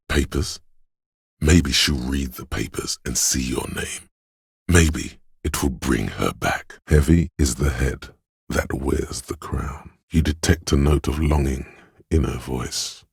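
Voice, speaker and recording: A man voicing a grave, compassionate inner voice of empathy as a video game narrator, speaking in a measured, solemn way with a husky, gravelly tone. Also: a bass voice, no echo, clean studio sound, heavily acted. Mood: concerned, observant.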